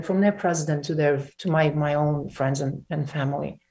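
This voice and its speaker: A woman from Ukraine talks through a conversational interview in a low voice. She speaks haltingly, restarting often, then presses her points with emphasis. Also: a little mellow. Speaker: interview guest.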